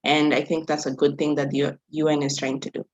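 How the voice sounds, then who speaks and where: A woman's voice: low voice, little pitch movement, a little fast, dull audio. A woman from Kenya, a youth workshop facilitator, speaking on a panel discussion, laughing often and restarting her sentences.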